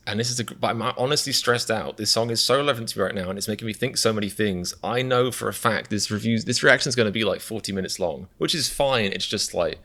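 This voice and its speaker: A man's voice: medium pitch, pushed and bright. A young British man hosting a reaction video, musing in a reflective, self-deprecating way with a lot of restarts. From Britain. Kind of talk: casual rambling commentary.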